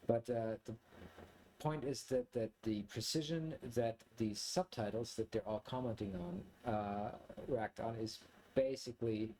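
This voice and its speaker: A man from Germany, a native German speaker, giving a casual, reflective monologue to viewers in a rambling, halting way as he thinks aloud. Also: mid-range voice, mellow, a little hiss or hum. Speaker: video creator, commentator.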